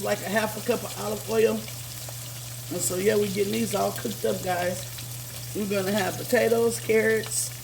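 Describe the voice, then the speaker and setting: Woman cooking at home, a bit hoarse and gravelly, keeps saying guys, faint sizzle in the back. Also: medium pitch, background noise. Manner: chatty, says "guys" constantly, repeats herself. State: slightly hoarse from illness. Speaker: cooking channel host. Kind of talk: casual cooking vlog. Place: home kitchen. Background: food sizzling in a pan.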